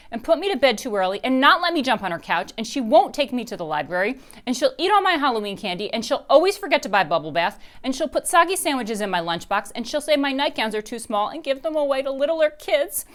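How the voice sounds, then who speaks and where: A woman voicing an excitable, overdramatic little girl in a storybook read-aloud. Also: high-pitched, quick pace, few pauses, pitched above the performer's own voice. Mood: dramatic.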